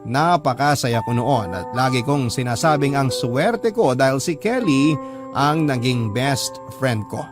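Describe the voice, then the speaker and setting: A man's voice: medium pitch, expressive, a little slow. A man with a Filipino accent reading a scripted first-person story as a radio drama narrator. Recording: music bed behind narration.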